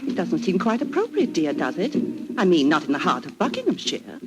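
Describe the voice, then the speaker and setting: An actress playing a genteel, gently chiding British wife, polite and prim, in 1940s radio drama. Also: female voice, on the low side, huge pitch swings, fairly quick. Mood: uneasy. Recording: very noisy 1940s radio recording.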